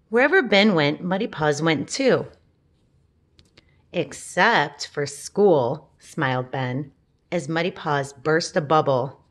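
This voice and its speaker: A woman reads a picture book aloud for young children. Her pace is very slow and her pitch sits a little low. She is gentle and often turns from the text to speak straight to her listeners. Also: vocal fry. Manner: gentle, talks to children with questions. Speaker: storybook reader.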